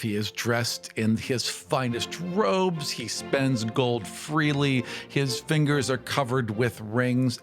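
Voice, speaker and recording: Mid-pitched man casually narrating over music. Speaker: tabletop roleplay player. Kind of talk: casual improvised character narration.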